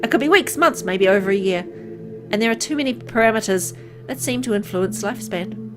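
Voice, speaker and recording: A woman reading aloud as a kind, caring male doctor in a game, speaking gently and earnestly. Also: mid-range voice, expressive, fairly quick, over music, lightly acted. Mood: reassuring.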